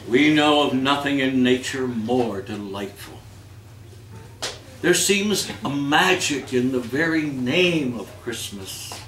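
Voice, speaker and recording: A man's voice: mid-range voice, slow, a bit bright. A man hosting a live holiday reading and quiz on stage, with a theatrical, jokey way of talking. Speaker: host of a community holiday reading and song quiz. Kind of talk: live stage reading and playful audience banter. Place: indoor community event before an audience. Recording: echoey room, distant mic, noisy audience.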